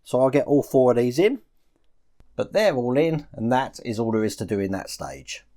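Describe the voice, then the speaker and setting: A man's voice: mid-range voice, big pitch swings, normal speed, mellow. Man giving hands-on build instructions. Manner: informal, narrating actions as he works. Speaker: presenter of a model-building tutorial. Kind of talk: hands-on step-by-step instructional commentary.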